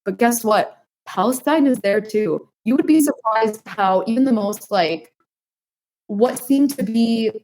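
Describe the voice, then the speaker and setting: A woman's voice: on the high side, measured pace, a little mellow, low-quality recording. A woman in her twenties from New Hampshire, speaking earnestly as a podcast guest, with many fillers like "like" and "um". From New Hampshire, United States. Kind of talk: interview answers.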